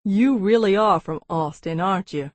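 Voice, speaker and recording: A woman voicing an easygoing, wryly amused Texan businessman with a drawling baritone in an audiobook. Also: measured pace, no room sound, natural voice. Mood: wry, brisk. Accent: Texas. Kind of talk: audiobook character dialogue.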